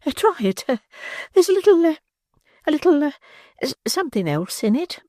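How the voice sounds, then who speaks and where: A man voicing a flustered middle-aged matron in a high, breathy, swooping falsetto. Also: sing-song, moderate pace, dark tone, no echo, clean studio sound. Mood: faint fluttery.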